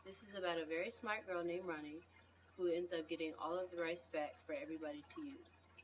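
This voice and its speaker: A woman's voice: fairly low pitch, steady tone, a little fast. A woman reading a storybook aloud, picked up by a distant mic with noise behind her. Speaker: reader at a read-aloud event. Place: school library. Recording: distant mic, noisy room.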